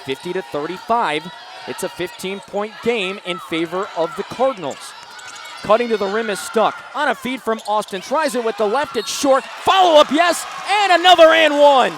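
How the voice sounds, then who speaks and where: High-pitched man calling basketball play-by-play over noise. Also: normal speed, a crowd in the background. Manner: projected, animated calls that rise to shouts on big plays. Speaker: radio basketball play-by-play announcer. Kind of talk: live sports commentary. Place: indoor basketball arena. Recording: noisy live arena broadcast, bouncing balls.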